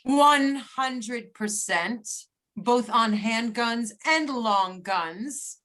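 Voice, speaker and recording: Lady acting as a feisty agent, blunt and challenging, kinda high voice. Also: extremely slow, a bit bright. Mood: defiant. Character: feisty female agent and crack shot. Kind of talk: readers theater acting.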